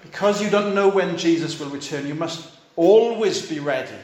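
A man's voice: fairly high pitch, moderate pace, a little mellow, echoey room. A fifty-year-old male pastor preaching a sermon, urging his listeners on in short, repeated, earnest phrases.

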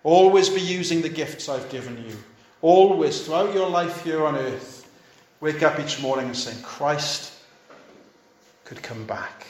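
A man's voice: fairly high pitch, unhurried, roomy sound, rough recording. A fifty-year-old male pastor preaching a sermon, urging his listeners on in short, repeated, earnest phrases.

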